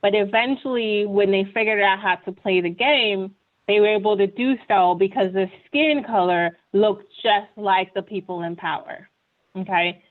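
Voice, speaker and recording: Woman on a tinny video call. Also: medium pitch. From Ghana. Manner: earnest, often ends points with "right?". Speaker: webinar panelist. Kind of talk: conversational presentation.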